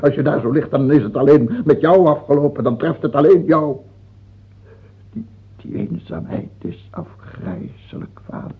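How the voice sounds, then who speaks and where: Husky, anguished dying king. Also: on the high side. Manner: halting and stammering, turning imperious. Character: dying king of France, tyrannical, fearful, doubt-ridden, gout-ridden. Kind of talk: radio drama acting. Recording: muffled, tinny old radio-play recording.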